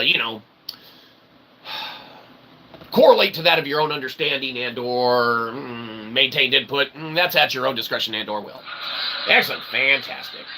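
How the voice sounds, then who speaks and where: A man's voice: medium pitch, sharp tone, slight background noise, rough recording. A man hosting a comedic philosophy show in a mock-grandiose, wordy monologue with ornate phrasing. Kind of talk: comic monologue.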